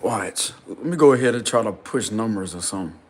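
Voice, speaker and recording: A man vlogging casually and insistently from a small rented room, with a deep, loud voice. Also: a little fast. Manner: excited, insistent, slangy. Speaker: spiritual vlogger. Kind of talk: casual vlog to camera.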